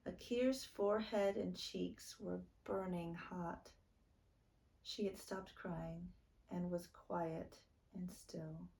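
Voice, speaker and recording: A woman reading a children's book aloud as a storytime narrator, expressive and deliberate. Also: lowish voice, gravelly, rough recording. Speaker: storytime reader.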